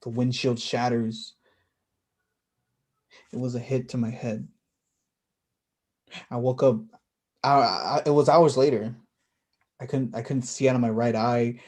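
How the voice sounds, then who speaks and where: A man's voice: medium pitch, fairly even intonation, measured pace, pauses often, a little mellow, lightly acted. A man acting a reflective blind young man in a staged play reading, hesitant and conversational.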